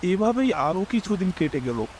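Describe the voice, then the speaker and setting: High-pitched, expressive young male storyteller. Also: normal speed, slightly rough. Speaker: first-person narrator and main character. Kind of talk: dramatised scripted horror story narration.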